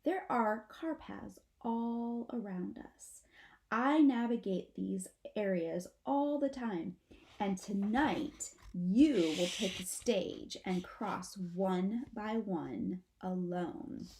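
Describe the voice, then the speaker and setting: A woman voicing a stern mother possum from a children's storybook, commanding and deliberate like a stage director. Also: medium pitch, warm tone. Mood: firm, instructing. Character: stern, theatrical mother possum instructing her babies. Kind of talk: children's storybook character reading.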